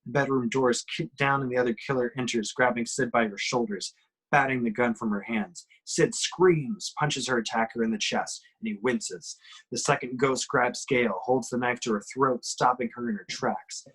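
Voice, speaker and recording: Husky man narrating horror action. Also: medium pitch, fairly even intonation, moderate pace, fairly bright tone, rough recording. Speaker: reader of action descriptions. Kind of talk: dramatic scripted narration, amateur table read.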